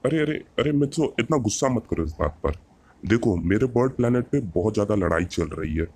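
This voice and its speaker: A man's voice: on the low side, animated, brisk, a little mellow, husky. A man playing a bossy alien bird-man who mentors young superheroes in a cartoon dub, commanding and given to lecturing. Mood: placating. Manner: commanding, lecturing with repeated rhetorical questions.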